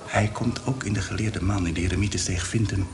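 A man in a radio drama playing a condemned prisoner, a fond brother who speaks in an urgent, hushed way. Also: on the low side, steady tone, a little fast, music in the background, close to an everyday voice. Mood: urgent, vengeful. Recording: noisy radio play recording.

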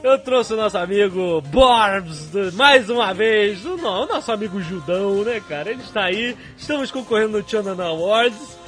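A Brazilian man hosting a podcast, chatting excitedly and casually with his co-hosts. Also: high voice, moderate pace, few pauses, soft background music. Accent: Brazilian Portuguese. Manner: excited, chatty, keeps saying "cara". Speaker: podcast host. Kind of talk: casual podcast chat and sponsor read.

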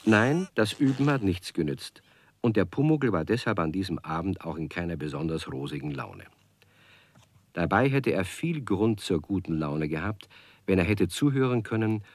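A man narrating a children's radio play as a measured, expressive, slightly wry storyteller. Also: low-pitched, no room sound.

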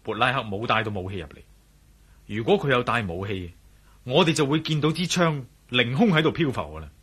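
An actor playing a cool-headed, daring adventurer in a radio drama, confident and wry, with a Hong Kong Cantonese accent. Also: male voice, medium pitch, animated, fairly quick, a bit bright, breathy edge. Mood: calm, commanding.

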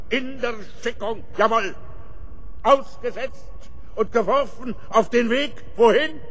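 Ecstatic male prophet: extremely high, drawn-out chanting, cavernous echo, radio satire. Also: fairly bright tone, full character voice. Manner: incantatory, climbing, solemn. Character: raving, oracular man chanting mystical philosophy. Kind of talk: satirical radio play acting. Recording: long reverberant hall.

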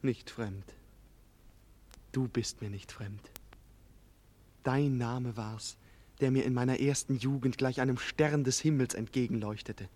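A man's voice: mid-range voice, measured pace, pauses often, lightly acted. An actor playing a tender, impassioned young nobleman in despair, declaiming emotionally in a 1940s German radio play. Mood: grieving, tender. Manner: emotional, declamatory, bursting from subdued grief into loud outcries. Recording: vintage 1940s radio studio recording.

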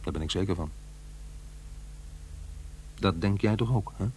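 An actor playing a troubled, reproachful divorced father in middle age, halting and earnest, in a Dutch radio play. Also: sits low, darkish tone, a little hiss or hum. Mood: brooding, confiding. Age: middle-aged. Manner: halting, earnest, self-questioning.

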